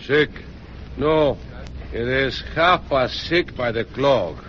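A curt Mexican barman who answers in a slow, clipped way. The sound is dull and lo-fi, with a faint hiss. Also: mid-range voice, a bit bright. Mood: terse. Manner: slow and terse. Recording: dull lo-fi radio drama.

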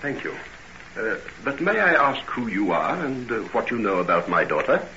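Formal, probing middle-aged gentleman for vintage radio drama; clear-cut bright tone, animated inflection. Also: fairly low pitch, normal speed. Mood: courteous, wary. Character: polite, inquisitive middle-aged gentleman, a worried father. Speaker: actor. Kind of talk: old radio drama acting. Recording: muffled noisy old radio recording.

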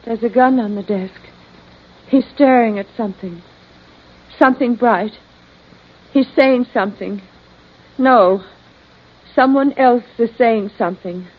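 Slow, husky entranced woman. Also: on the high side, fairly even intonation. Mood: entranced, haunted. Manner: halting, trance-like, short phrases. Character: entranced young woman clairvoyant, haunted, fateful. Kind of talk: melodramatic vintage radio acting. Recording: dull old radio recording.

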